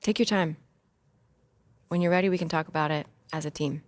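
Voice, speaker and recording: A woman's voice: low-pitched, a little fast, no room sound, lightly acted. A woman playing an athlete's supportive but insistent young girlfriend in a video game scene, earnest and natural in delivery. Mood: measured. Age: young adult.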